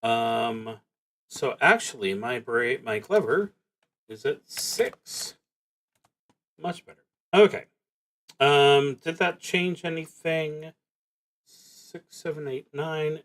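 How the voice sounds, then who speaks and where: Slow-paced man streaming a solo tabletop game. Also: on the high side, a bit bright, a little hiss or hum. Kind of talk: casual game play narration, thinking aloud and reading prompts.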